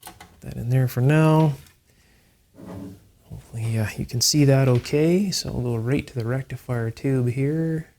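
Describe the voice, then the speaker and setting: A man hosting an electronics restoration video, explaining each step calmly and methodically, with frequent fillers. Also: mid-range voice, unhurried, long pauses. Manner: calm, methodical, with fillers like "uh" and "you know". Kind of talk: hands-on technical explanation.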